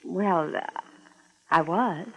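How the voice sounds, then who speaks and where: An actress playing a timid, hesitant young female client with a halting manner in a 1950s radio drama. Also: fairly low pitch, dull audio, heavily acted. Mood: nervous. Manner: halting, apologetic. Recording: vintage 1950s radio recording.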